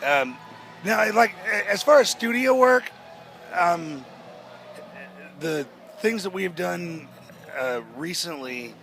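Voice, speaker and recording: Slow-talking hoarse man. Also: sits high, expressive, a bit bright. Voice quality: hoarse-sounding. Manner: slow, halting, many restarts and fillers. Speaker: rock band member. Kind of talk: casual interview answers. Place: outdoors at a music festival.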